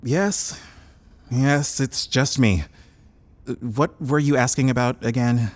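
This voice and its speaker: A man's voice: medium pitch, takes it slowly, close to an everyday voice. Man playing a prickly, suspicious male scientist. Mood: suspicious, grudging. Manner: curt, indignant, sarcastic. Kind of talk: audio drama dialogue.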